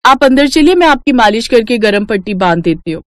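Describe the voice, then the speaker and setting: A woman's voice: fairly high pitch, a little fast, close to an everyday voice. A woman playing a discontented young wife in a cartoon story, nagging and pleading expressively. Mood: defensive. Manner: complaining, expressive. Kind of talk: cartoon moral story acting.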